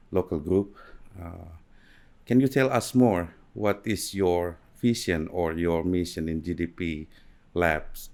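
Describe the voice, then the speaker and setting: A middle-aged man hosting a studio podcast interview, asking questions with hesitant fillers. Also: sits low. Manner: hesitant, lots of fillers and listening murmurs. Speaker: host. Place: small studio set. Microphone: studio mic on boom arm, headphones.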